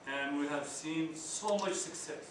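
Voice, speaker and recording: A middle-aged man, an ESL teacher giving a workshop talk, halting with many restarts and fillers. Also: fairly high pitch. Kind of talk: workshop presentation. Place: conference room. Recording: echoey hall, distant mic, noisy.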